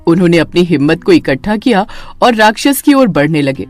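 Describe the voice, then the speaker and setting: A woman's voice: fairly low pitch, very animated, brisk, a little mellow, no room sound, soft background music. A woman narrator reading a scripted horror story in a dramatic storytelling manner.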